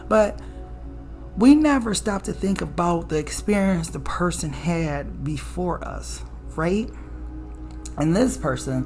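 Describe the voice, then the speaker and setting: A woman with a raspy alto voice giving a casual, preachy spiritual tarot reading, often checking in with "okay?" and "right?". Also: darkish tone. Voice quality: low alto voice. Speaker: tarot reader.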